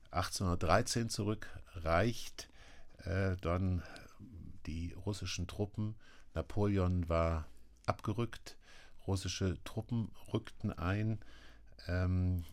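Low-voiced male radio interviewer. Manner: measured, asks questions with fillers. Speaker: interview host.

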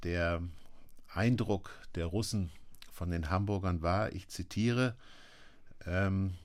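A man with a low voice hosts a radio interview. He asks his questions in a calm, measured way and sometimes hesitates over a word. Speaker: interview host.

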